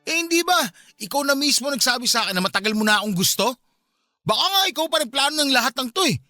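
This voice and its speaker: A scornful, high-pitched, fast-talking young husband. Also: male voice, animated, pushed and bright, no room sound, full character voice. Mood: mocking, accusing. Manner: dismissive, accusing. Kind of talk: Filipino radio drama acting.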